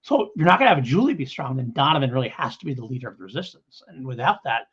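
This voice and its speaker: Animated, husky man chatting. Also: mid-range voice, fast, dull audio. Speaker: podcast co-host reviewing audio dramas. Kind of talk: casual review chat.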